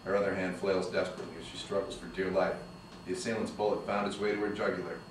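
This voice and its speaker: Man narrating action lines, very deep, fast, echoey room, distant mic. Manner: steady, even reading of action lines. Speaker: narrator reading stage directions. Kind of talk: screenplay table read. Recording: echoey room from far off with noise.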